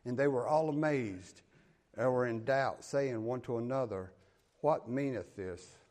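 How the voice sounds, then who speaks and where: A man's voice: medium pitch, measured pace, darkish tone. A man with a Southern US accent preaching a sermon, reading scripture aloud and explaining it in a folksy, plain-spoken way. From American South.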